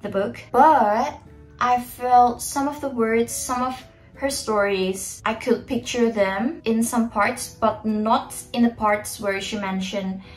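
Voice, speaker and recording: Slow-talking young woman reviewing books. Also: fairly high pitch, fairly even intonation, soft background music, poor audio. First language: Indonesian. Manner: chatty, opinionated, addresses viewers directly. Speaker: book vlogger. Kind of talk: casual book review vlog. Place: bedroom in front of a bookshelf, indoors.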